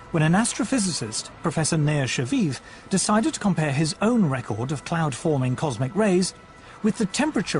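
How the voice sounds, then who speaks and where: A man narrating a documentary in a measured, deliberate scripted delivery. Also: a little high. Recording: narration over music from a film.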